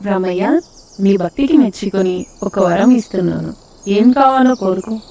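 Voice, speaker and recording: A woman's voice: medium pitch, expressive, moderate pace, dark tone, natural voice. A woman voicing a benevolent, commanding goddess of wealth in a children's cartoon story, proclaiming solemnly. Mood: gracious.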